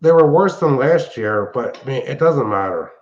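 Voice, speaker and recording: A man's voice: mid-range voice, lively intonation, moderate pace, darkish tone. An older man chatting casually and technically, explaining things in a rambling way as a show host and hobbyist. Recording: dull, band-limited sound.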